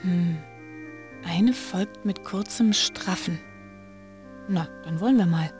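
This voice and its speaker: A woman's voice: fairly low pitch, slow pace, music in the background. A woman playing a young girl detective in an adventure game. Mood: curious. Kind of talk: scripted adventure game dialogue.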